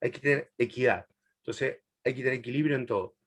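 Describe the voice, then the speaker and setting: A middle-aged man from Chile, raised in Argentina, teaching a Bible class in a chatty, laughing way. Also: medium pitch. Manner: warm, chatty, laughs often, long pauses. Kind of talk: answering a student in a live class.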